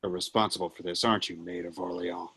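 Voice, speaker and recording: A man playing Satan as a mocking, brash, theatrical devil with a rough voice in a play read-through. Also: low-pitched, steady tone, quick pace, a bit bright, heavily acted. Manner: sneering, taunting, swings between mutter and outburst. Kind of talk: acting in a virtual table read.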